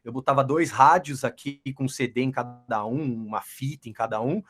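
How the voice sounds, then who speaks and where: A man's voice: mid-range voice, normal speed, fairly bright tone. A Brazilian man, a professional voice-over artist, chatting casually about his experience and repeating words as he goes. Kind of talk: casual chat, telling his own experience.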